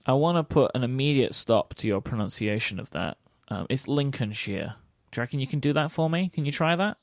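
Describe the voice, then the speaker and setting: Expressive, slightly creaky male co-host. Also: medium pitch, fairly quick, no room sound, studio quality. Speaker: podcast co-host. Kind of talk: scripted explainer with teasing banter.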